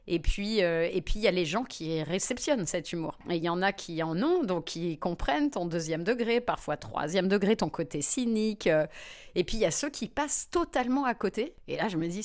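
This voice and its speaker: A woman comedian, a guest in an interview, telling lively personal anecdotes with frequent fillers. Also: lowish voice. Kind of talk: anecdotal storytelling in conversation.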